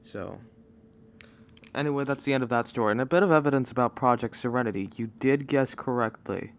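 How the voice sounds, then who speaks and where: Rambling man making a casual announcement. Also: mid-range voice. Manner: rambling, halting, often losing his train of thought. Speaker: video creator giving channel announcements. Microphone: microphone without pop filter. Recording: microphone pops, no pop filter.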